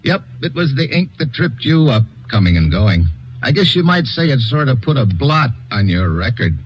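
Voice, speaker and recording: A man's voice: mid-range voice, big pitch swings, moderate pace, a little hiss or hum, natural voice. An actor playing a tough, wisecracking male agent in a 1950s radio drama, dry and confident. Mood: wry. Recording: dull old radio broadcast.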